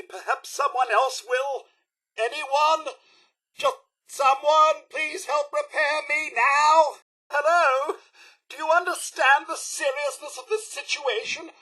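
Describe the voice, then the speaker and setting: A man's voice: very high voice, bright, forceful tone, husky, full character voice, low-quality recording. A man playing an anxious, polite robot butler in a video game, with a British accent, a robotic effect and a fussy, melodramatic, formal manner. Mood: frantic panic. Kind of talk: video game character voice lines.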